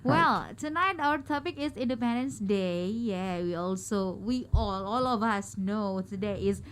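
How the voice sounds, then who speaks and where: A woman's voice: medium pitch, a little slow, slight background noise. A woman with an Indonesian accent hosting a radio talk show, chatty and a little hesitant. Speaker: radio host.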